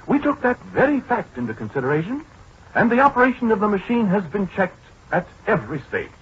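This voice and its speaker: An actor playing a pompous, pedantic scientist who is easily flustered, in a 1940s radio drama. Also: male voice, sits high, big pitch swings, a little fast, full character voice. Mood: pompous, lecturing. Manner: pedantic, precise, lecturing. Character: pompous, flustered male scientist demonstrating a calculating machine. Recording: muffled, husky-sounding 1940s radio recording.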